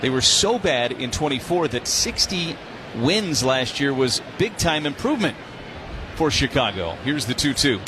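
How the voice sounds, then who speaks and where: A man's voice: medium pitch, measured pace. A man calling live baseball play-by-play as a radio announcer. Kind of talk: live radio sports commentary. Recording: steady ballpark noise behind voice. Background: outdoor stadium ambience.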